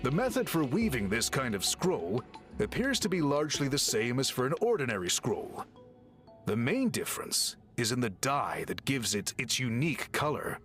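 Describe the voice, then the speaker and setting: Learned male scroll scholar; formal, patient explanations with an animated lilt over soft game music. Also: medium pitch, moderate pace. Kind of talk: game dialogue.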